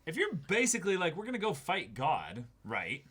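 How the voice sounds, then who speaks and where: Wry man with a slightly raised pitch. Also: huge pitch swings, moderate pace, sharp tone, slightly rough. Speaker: co-host of a reaction show. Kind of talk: casual unscripted discussion.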